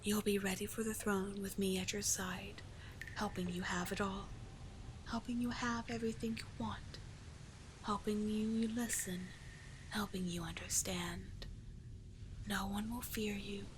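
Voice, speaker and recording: A seductive sea witch, played by a woman, whispers in a soft, breathy hush over clear ambient noise. She is hypnotic and coaxing, repeating herself with possessive tenderness, as in an ASMR sleep roleplay. Her mid-range voice stays manipulative beneath the gentleness. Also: mid-range voice, level tone, measured pace, close to an everyday voice. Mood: hypnotic, persuasive.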